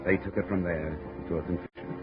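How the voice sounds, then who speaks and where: A man's voice: deep voice, little pitch movement, fast, natural voice. An American actor playing a tough, world-weary male insurance investigator narrating his case tersely and wryly in 1950s radio drama. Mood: grim. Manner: terse, wry, hardboiled. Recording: muffled 1950 radio recording, music behind.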